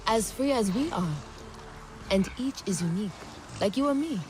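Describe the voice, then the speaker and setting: Actress doing a blunt proud horsewoman from a tribe, animated, medium pitch, game, noisy behind. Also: moderate pace, lightly acted. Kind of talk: video game voice acting.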